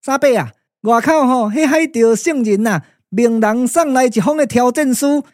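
An actor with a Taiwanese accent playing an excitable, bumbling messenger in puppet radio drama, repetitive and exclamatory. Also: male voice, very high-pitched, normal speed, no room sound, studio quality. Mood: flustered, eager.

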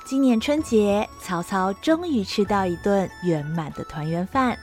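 A woman narrating a historical audio drama with a gentle, expressive, melodic delivery, then addressing listeners as host. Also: mid-range voice, unhurried, faint music behind. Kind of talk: scripted storytelling narration.

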